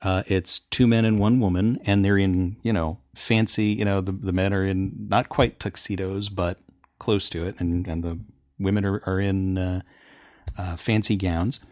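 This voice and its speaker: A middle-aged man chatting casually on a panel, telling things in an enthusiastic, halting way. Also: low-pitched, level tone, a little mellow, dry recording, studio quality.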